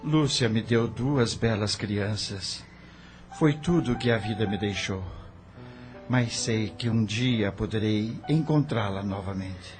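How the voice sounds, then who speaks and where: A man's voice: fairly low pitch, takes it slowly, faint music behind. A man playing an earnest, grieving doctor and family man in an audio novel. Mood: sorrowful. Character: earnest grieving widowed doctor, loving husband and father. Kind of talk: audio novel dramatic acting.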